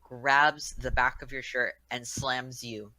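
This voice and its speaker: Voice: medium pitch, steady tone, slow, bright, forceful tone, poor audio. A game master narrating a tabletop roleplaying game in an informal way, addressing the players as their characters.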